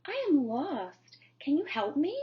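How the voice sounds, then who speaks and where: A woman's voice: high voice, sing-song, measured pace, voice pushed up. A woman doing a small, eager little bee girl. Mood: earnest. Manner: earnest, politely pleading. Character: small young female bee, eager and polite. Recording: dull lo-fi recording.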